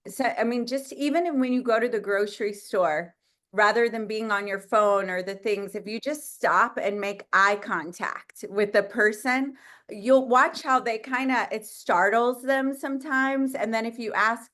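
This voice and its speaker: A woman hosts a casual video call. She has a loud voice and an effusive manner, often trailing off and restarting her sentences. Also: on the high side, measured pace. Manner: effusive, hesitant, with many restarts. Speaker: host. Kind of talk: casual hosting chat.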